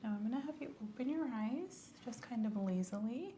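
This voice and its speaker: A woman guides a listener through a calm clinical checkup, moving between a soft speaking voice and close whispers. Her tone is mellow and unhurried, and her instructions are gentle and precise. A faint hiss sits behind her. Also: on the high side. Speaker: ASMR host playing a clinical examiner. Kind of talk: ASMR medical exam roleplay.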